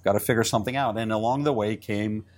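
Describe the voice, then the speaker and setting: A middle-aged man from Israel whose first language is Hebrew talks as an interview guest. He speaks fast and keeps restarting his sentences. He repeats words as he goes. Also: fairly low pitch, steady tone. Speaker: entrepreneur guest. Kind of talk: interview conversation.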